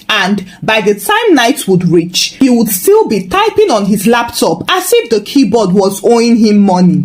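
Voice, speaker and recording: A woman with a Nigerian accent narrating a scripted folktale in an expressive, humorously dramatic way. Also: fairly high pitch. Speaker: storyteller, narrator.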